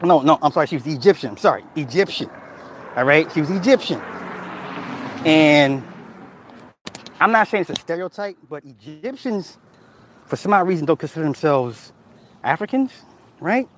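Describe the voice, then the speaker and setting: A man's voice: fairly high pitch, animated, moderate pace, pauses often, a bit bright, slight background noise. A man hosting a casual livestream who reads chat comments and tells stories in a loose, profane way, often laughing. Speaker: livestream host reading chat.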